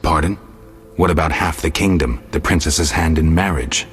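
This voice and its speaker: A grim mutant monster hunter from a fantasy video game, speaking extremely low and deadpan. He is sardonic and sparing with words, with faint music underneath. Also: moderate pace. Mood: sardonic, confident. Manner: dry, deadpan, laconic.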